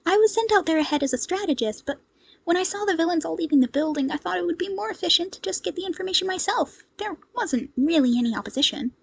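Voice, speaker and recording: A woman voicing a cheerful, modest young hero strategist in an audio story, with an earnest, gently exasperated manner. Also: very high-pitched, expressive, fast talker, vocal fry, no echo, voice pushed up, heavily acted. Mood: amused, exasperated.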